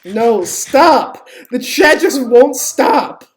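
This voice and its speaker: A woman's voice: on the high side, animated, very slow. A woman giving casual live game stream commentary, informal and sweary, jokingly pleading with viewers. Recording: clearly noisy home stream, keyboard clicks.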